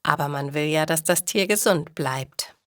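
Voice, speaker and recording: A woman's voice: deep voice, normal speed, dry recording, clean studio sound, close to an everyday voice. Woman reading as a helpful, emphatic young man. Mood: amused.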